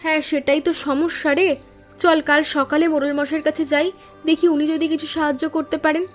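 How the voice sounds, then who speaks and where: A woman's voice: high voice, little pitch movement, quick pace, faint music behind, lightly acted. A woman voicing earnest, hopeful young flower-selling sisters in a moral-story cartoon, speaking politely. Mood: hopeful, planning.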